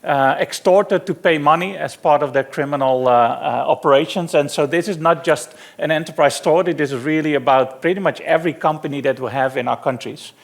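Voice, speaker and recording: A middle-aged man with a Dutch accent presents a technical talk at a conference, easygoing and a bit self-deprecating, dropping plenty of "uh"s. His voice sits somewhat high for a man and has a gravelly, fry-laden edge. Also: fairly quick, hardly any pauses. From Netherlands. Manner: relaxed, self-deprecating presenter with frequent fillers. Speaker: conference speaker. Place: conference hall.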